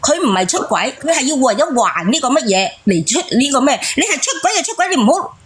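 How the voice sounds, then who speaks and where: A woman's voice: high voice, sing-song, fast, fairly bright tone, a little hiss or hum. A woman with a Cantonese accent hosting a call-in show, bluntly arguing and mocking, repeating phrases for emphasis. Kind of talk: casual, argumentative phone-in talk.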